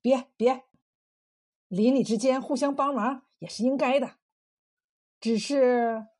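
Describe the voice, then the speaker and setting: A woman's voice: high-pitched, steady tone, slow pace, a little mellow. A woman storyteller voicing an older, well-meaning village farmer, hesitant and placating. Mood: tentative.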